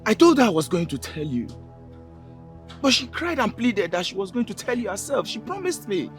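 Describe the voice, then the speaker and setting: Un homme jouant Veshima, a defensive pleading friend. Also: male voice, high voice, sing-song, moderate pace, faint music behind. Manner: pleading, protesting innocence. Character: anxious defensive young man caught between friends.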